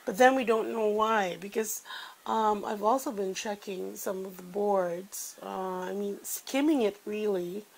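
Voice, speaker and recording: A woman's voice: medium pitch, fairly even intonation, a little slow, gravelly, darkish tone, poor audio. A woman with a congested voice, giving a chatty weekly tarot reading with many fillers. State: congested from a cold. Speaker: tarot reader.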